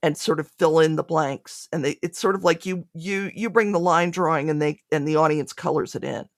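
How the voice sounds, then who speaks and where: A woman's voice: low voice, a little fast, no echo, studio quality. A woman speaking conversationally as a podcast guest, an audio drama creator explaining things in interview answers.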